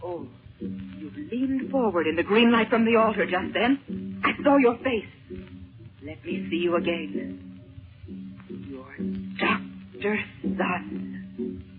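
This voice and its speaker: A woman's voice: mid-range voice, lively intonation, slow pace, pauses often, over music. An actress in a 1930s radio serial playing a frightened captive woman, pleading in melodramatic style. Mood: fearful. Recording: muffled vintage 1930s radio recording.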